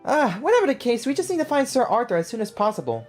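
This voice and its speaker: A woman voicing a small, excitable, childlike fairy girl companion from a video game, eager and exclamatory. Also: a little high, fast talker, faint music behind. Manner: speaks of herself in third person, exclamatory.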